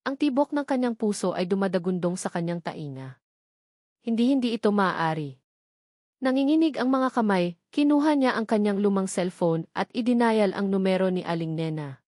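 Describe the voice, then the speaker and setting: A woman's voice: medium pitch, lively intonation, normal speed, darkish tone, dry recording, studio quality. A woman reading a scripted dramatic story as its narrator.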